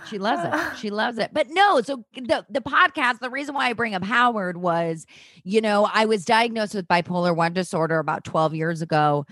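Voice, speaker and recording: A woman's voice: medium pitch, moderate pace, a bit bright, dry recording. A woman actress as a podcast guest, telling stories in casual chat, laughing often and swearing freely.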